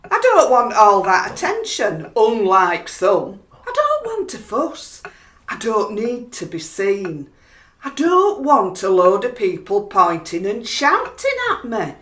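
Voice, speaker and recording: A woman's voice: mid-range voice, wildly swooping pitch, takes it slowly, a bit bright, heavily acted. A woman playing a blunt, downhearted working-class wife with a Yorkshire accent in a radio play. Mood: scornful, hurt. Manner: blunt, scolding, turning weary. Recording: roomy, echoing home-made recording.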